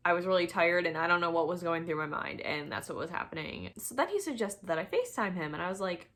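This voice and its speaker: Young woman, slightly low voice, casual storytime vlogger, chatty and self-deprecating. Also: expressive, fast, fairly bright tone. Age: young adult. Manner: chatty, informal, self-deprecating, acts out quoted dialogue.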